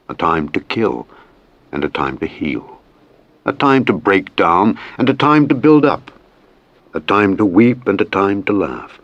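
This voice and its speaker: A man reading scripture as a solemn audiobook, in a measured, formal, declamatory manner. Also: low voice, dry recording. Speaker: scripture reader. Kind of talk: solemn Bible audiobook narration. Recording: dull, muffled, lo-fi audio.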